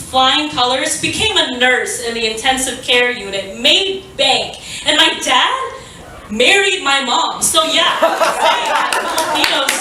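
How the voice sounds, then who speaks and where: A woman's voice: sits high, pushed and bright, slight background noise. A young adult woman doing a stand-up set, rambling and self-deprecating with lots of filler words and restarts. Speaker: stand-up comedian. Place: small venue stage. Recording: echoey room, mic from a distance.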